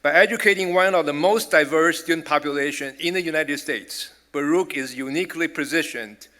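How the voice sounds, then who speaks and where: Bright-voiced Taiwanese-accented man giving a speech. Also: fairly high pitch. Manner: measured, earnest delivery with small restarts. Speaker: college president giving a speech. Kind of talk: formal prepared speech at a dinner.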